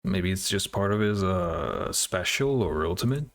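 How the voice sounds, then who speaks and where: A man's voice: deep voice, lively intonation, measured pace, vocal fry. A man hosting a casual reaction video, thinking aloud in hesitant fragments. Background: game trailer music.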